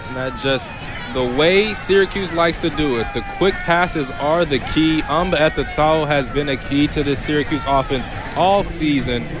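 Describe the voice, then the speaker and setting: A man's voice: fairly high pitch, normal speed. An American man giving live sports analysis as a color commentator on a radio broadcast. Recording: stadium broadcast, clear crowd noise behind. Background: crowd.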